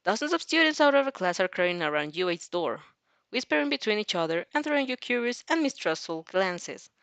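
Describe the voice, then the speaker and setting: A woman narrates a fan fiction story with a very animated, swinging pitch and casual asides. The recording is dry and close. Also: brisk, vocal fry, no echo. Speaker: fan fiction reader and reactor.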